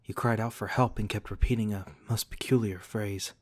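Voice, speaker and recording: A man playing a courteous, protective centuries-old vampire lord in an ASMR roleplay, speaking formally and gently to the listener with polite apology. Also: lowish voice, steady tone, normal speed, no room sound, close to an everyday voice. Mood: concerned.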